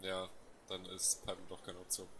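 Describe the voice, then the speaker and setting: A man's voice: low voice, completely flat delivery, moderate pace, very noisy. A man, a native German speaker, doing casual live commentary as a game streamer. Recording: loud game music behind voice. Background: game music.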